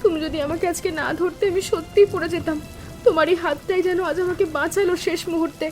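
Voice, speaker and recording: A woman playing a timid, grateful younger sister-in-law in an animated family drama, speaking in an earnest, emotional, deferential way. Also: very high voice, fast. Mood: shaken, grateful. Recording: music bed with rain sounds.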